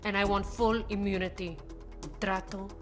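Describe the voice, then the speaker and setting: A woman's voice: medium pitch, flat delivery, normal speed, faint music behind, natural voice. A woman acting a defiant, guarded cartel lieutenant under interrogation in a video game, curt and demanding.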